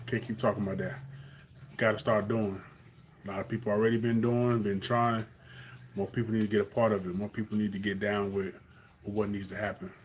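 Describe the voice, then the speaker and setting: A man's voice: on the low side, level tone, fairly quick, slight background noise. A young man from Carolina with a Southern accent, talking earnestly off the cuff in a live stream monologue, with fillers and restarts.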